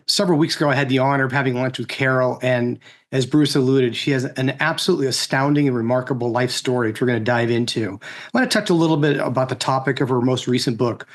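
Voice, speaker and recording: A man in his sixties co-hosting, giving a conversational political talk with rhetorical questions. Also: mid-range voice. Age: 60s. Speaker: co-host and co-founder speaking. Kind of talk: conversational political speech introducing a guest.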